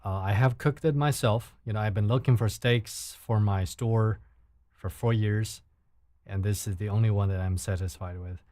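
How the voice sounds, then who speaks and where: A man's voice: fairly low pitch, steady tone, normal speed, darkish tone, dry recording. A young man from China, a native Mandarin speaker with a Chinese accent, chatting casually on a late-night livestream and often repeating words as he searches for English. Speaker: livestream host. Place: indoors at home, late at night.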